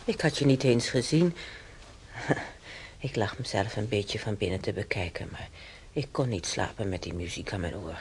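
A woman's voice: rumbling low, normal speed, darkish tone. An actress playing a chatty, wistful hospital patient in her seventies in a radio drama, rambling and homely as she reminisces. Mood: grumbling, teasing. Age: 70s. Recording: noisy old radio play recording.

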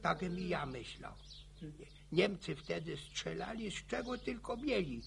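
An actor playing an old village grandfather in a radio play, spinning boastful war yarns with a gravelly, stammering, chuckling voice. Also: high-pitched, very animated, measured pace, a bit bright, slight background noise, full character voice. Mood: boastful reminiscing. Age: older. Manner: chuckling folksy yarn-spinning. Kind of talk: radio drama acting.

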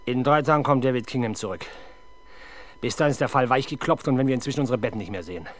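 A middle-aged actor playing a stubborn, embittered police inspector in a 1970s German radio crime play, gruff and sardonic. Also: male voice, medium pitch, quick pace, lightly acted. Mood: determined.

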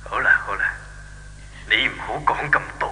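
A man's voice: lowish voice, pushed and bright. A man playing an ancient, benevolent temple god in a 1970s radio drama, lofty and moralizing, with a Hong Kong Cantonese accent. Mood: kindly. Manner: measured, moralizing, chuckling. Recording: old radio, long hall reverb, faint hum.

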